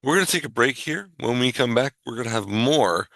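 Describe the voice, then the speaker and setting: A man's voice: medium pitch, lively intonation, fairly quick, vocal fry, dry recording. A man hosting a show, announcing breaks and returns in a scripted presenter style. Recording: loud music under the voice. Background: loud music bed.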